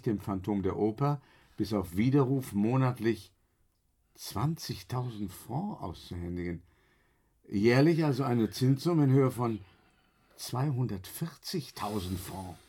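A self-assured opera house director in a radio play, with a lowish voice. He narrates with composure and argues with incredulous, mocking scorn. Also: expressive, a little slow, darkish tone, breathy edge. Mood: measured, narrating. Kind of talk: German radio drama acting.

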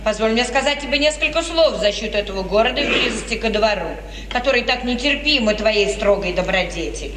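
A woman's voice: on the high side, animated, fast talker, lightly acted. An actress playing an anxious, pleading, protective middle-aged mother, expressively declaimed in 1950s Russian radio theatre. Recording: noisy, reverberant 1950s radio recording, distant.

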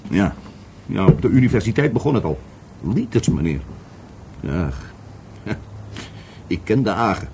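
A tipsy, stammering man, swinging pitch. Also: big pitch swings, measured pace, pauses often, darkish tone, a little hiss or hum. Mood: rambling, animated. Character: drunken, rambling, bitter divorced former business partner. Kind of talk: Dutch radio play acting.

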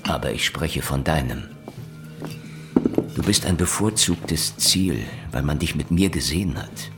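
A world-weary soviet-era private eye, low voice, dry but swingy delivery, radio play. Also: male voice, animated, a little slow, loud music behind the voice. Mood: dry, insistent. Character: sardonic hard-boiled male private detective in the Soviet Union. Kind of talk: German radio play acting. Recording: radio play with clear ambient noise.

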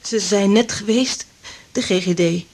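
A woman's voice: medium pitch, unhurried, darkish tone. An actress playing a stubborn, defiant and upset woman, an older man's girlfriend, in a radio drama. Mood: shaken. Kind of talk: naturalistic radio drama acting.

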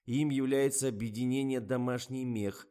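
A man's voice: medium pitch, normal speed, warm tone, pitched above the performer's own voice, natural voice. A man voicing an earnest, fussy male production assistant who explains things methodically and with emphasis in an audiobook.

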